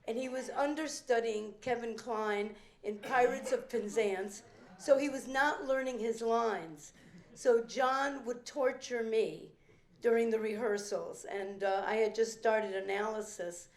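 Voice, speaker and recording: Animated older woman telling theater stories. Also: on the high side, a little slow. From from a working-class Italian immigrant family. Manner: animated and rambling, with restarts and acted-out quotes. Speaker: veteran performer speaking at a theater benefit. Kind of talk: anecdotal storytelling speech. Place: event room at a private club. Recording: roomy echoing event hall.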